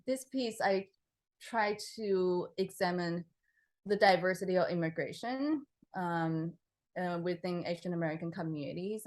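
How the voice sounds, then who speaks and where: A young woman from Taiwan, a composer answering interview questions in a relaxed, hesitant way, with many fillers, restarts and frequent laughter. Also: fairly low pitch.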